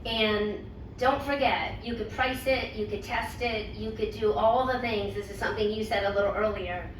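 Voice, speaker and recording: A woman's voice: medium pitch, steady tone, moderate pace. A woman hosting an event, giving encouraging remarks with emphatic repetition. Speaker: event host and organization leader. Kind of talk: motivational remarks. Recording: roomy, distant mic with noise.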